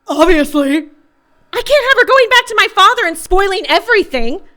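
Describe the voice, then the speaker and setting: High-pitched scheming spoiled heiress, played by a woman. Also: expressive, moderate pace, sharp tone, exaggerated voice. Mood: cold, menacing. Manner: theatrical, contemptuous. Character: ruthless, spoiled, scheming rich daughter, hot-tempered. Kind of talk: noir radio play acting.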